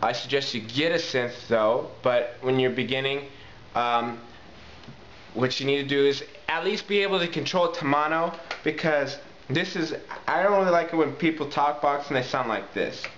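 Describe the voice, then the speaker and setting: A man's voice: medium pitch, moderate pace, a bit bright. A man presenting a casual hands-on how-to video in an informal way, addressing viewers as guys and repeating key points for emphasis. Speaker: tutorial presenter, talk box player. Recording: muffled, echoey, noisy amateur video sound.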